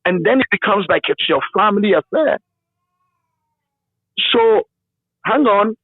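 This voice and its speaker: A Ghanaian-accented man who works in radio, telling stories in an interview in an emphatic, animated, conversational way. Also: high voice, measured pace, no echo, narrow, tinny audio. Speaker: radio broadcaster being interviewed.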